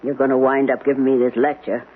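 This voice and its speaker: An actress playing a bossy, plain-spoken housekeeper in a radio drama, with a folksy, sarcastic, no-nonsense manner. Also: female voice, rumbling low, little pitch movement, fast. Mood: sarcastic, defiant. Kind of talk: 1970s radio drama acting. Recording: tinny, noisy old radio broadcast.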